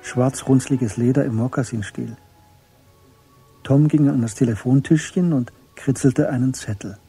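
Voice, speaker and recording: A man's voice: medium pitch, measured pace, mellow, breathy edge. A man reading scripted literary narration as the narrator of a German radio drama. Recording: music behind the voice.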